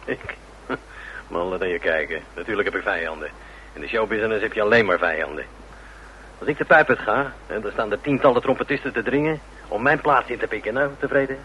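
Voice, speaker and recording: An actor playing a cynical, hard-drinking, foul-mouthed jazz trumpeter in a Dutch radio play, gruff and sneering. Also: male voice, fairly low pitch, a little fast, sharp tone. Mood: brusque, mocking. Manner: gruff, sneering, often muttering to himself. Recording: noisy, muffled old radio recording.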